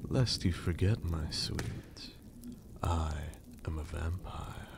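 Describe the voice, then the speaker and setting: A man playing a haughty, teasing gentleman vampire in an intimate ASMR roleplay, speaking formally in a breathy half-whisper with condescending endearments. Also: low-pitched, slow, background noise, exaggerated voice. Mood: teasing, amused, then smug, menacing.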